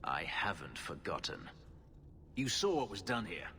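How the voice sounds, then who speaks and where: An actor playing a bitter, brooding elven former slave in a video game, speaking with intensity. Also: male voice, sits low, sing-song, unhurried, pushed and bright, a little hiss or hum, exaggerated voice. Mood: bitter anger.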